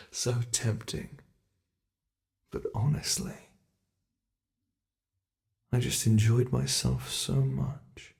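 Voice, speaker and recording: A man playing a gravelly, taunting centuries-old monster. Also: medium pitch. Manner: taunting, drops to close whispers. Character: ancient male monster, playful, cruel predator. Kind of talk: ASMR roleplay.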